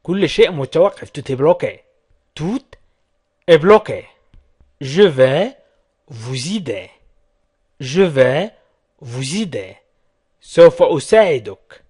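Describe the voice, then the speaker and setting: A man who is a native Arabic speaker, teaching as a language tutor with deliberate word-by-word phrases, repeated and translated. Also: a little high, animated, darkish tone, slightly rough.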